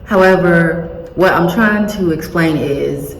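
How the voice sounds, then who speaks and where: A woman chatting casually in a self-filmed vlog, rambling with many fillers, in a monotone and slightly scratchy voice. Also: lowish voice, takes it slowly. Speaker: vlogger. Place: indoor room, cold. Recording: echoey room with long reverb, faint ambience.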